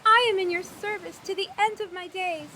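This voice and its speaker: Very high-pitched, devout acolyte girl. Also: steady tone, brisk, sharp tone, a little hiss or hum. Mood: reverent, apologetic. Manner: effusive, flustered, reverent. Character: devout, eager, apologetic young acolyte priest girl. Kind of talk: scripted fantasy audio roleplay.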